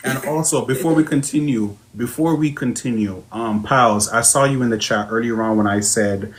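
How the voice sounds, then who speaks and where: A man's voice: medium pitch, normal speed, a little hiss or hum. A man hosting an interview, who asks questions and engages his live chat audience. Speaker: host.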